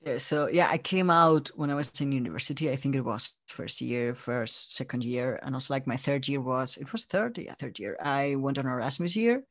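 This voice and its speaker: A man's voice: mid-range voice, normal speed, few pauses, fairly bright tone, dry recording, clean studio sound. Spanish-accented man in casual interview chat. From Spain. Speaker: interview guest, audio drama creator.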